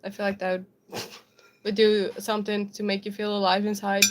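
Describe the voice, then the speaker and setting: A woman's voice: mid-range voice, monotone, fairly quick, a little hiss or hum. A young South African woman whose first language is Afrikaans, with an American-sounding accent, chatting casually on a livestream and answering viewer comments, giggly, with lots of ums and likes. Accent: American-sounding English.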